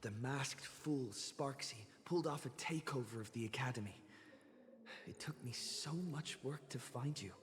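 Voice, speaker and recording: A man voicing an earnest, expressive young adventurer in a video game, reassuring and a little flustered. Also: medium pitch, moderate pace, noisy background, lightly acted. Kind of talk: game voice acting.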